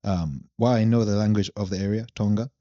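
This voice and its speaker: A young man from Zambia, a podcast guest, explaining his background at length in a relaxed chat. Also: fairly low pitch, fast talker, no echo, muffled recording. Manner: relaxed storytelling, long explanations. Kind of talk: casual chat.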